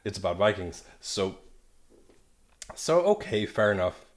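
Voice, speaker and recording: Deep-voiced man reviewing manga. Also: big pitch swings, measured pace. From Denmark. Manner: enthusiastic, rambling, with frequent restarts. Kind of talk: casual video review monologue.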